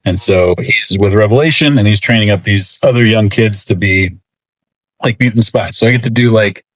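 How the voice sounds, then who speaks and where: A man with a fairly low voice talks as a guest in a casual interview. His explanations ramble along informally, hedged as he goes, and the sound is close and dry. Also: moderate pace, no echo, low-quality recording. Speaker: interview guest, comics writer.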